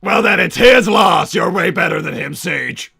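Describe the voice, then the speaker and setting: A man voicing a pompous, hot-tempered cartoon villain doctor and strict, doting papa, raspy and blustering, chatting in character on a livestream. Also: high-pitched, expressive, normal speed, sharp tone, soft background music, full character voice. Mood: indignant, firm. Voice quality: gravelly, raspy. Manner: blustering, bombastic. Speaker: livestream host.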